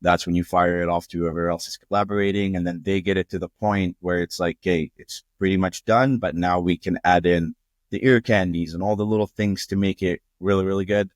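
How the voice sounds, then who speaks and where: A man's voice: sits low, fairly even intonation, brisk, no room sound. A young man from Jordan, a music producer guest, earnestly walking through his process in a casual interview.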